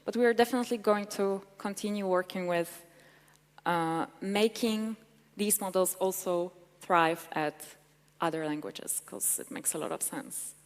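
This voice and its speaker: A young woman presents at a tech conference in an eager, chatty way. Her voice sits at a middle pitch. The recording carries the long ring of a large hall. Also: measured pace. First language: Polish. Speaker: conference speaker.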